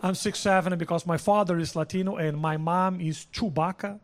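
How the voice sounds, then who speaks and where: Over a very noisy live recording, a male comedian works through a stand-up routine in a Brazilian accent. His voice sits high for a man. He hammers points by repeating them and swears freely. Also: steady tone, a little fast. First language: Portuguese. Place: live comedy show with audience. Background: loud noise, audience laughter.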